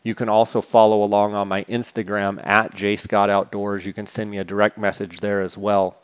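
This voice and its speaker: A man with a lowish, smooth voice reads his podcast's sponsor spots in a flat, even tone. His talk is loose and casual, full of "uh"s and small restarts. The sound is dry and a little muffled. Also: normal speed, no echo. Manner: casual, many filler "uh"s and restarts. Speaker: podcast host.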